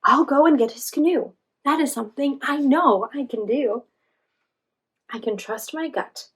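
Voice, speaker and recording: Casting: woman plays plucky young squirrel girl, earnest, determined, raised pitch, for storybook. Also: unhurried, rough recording.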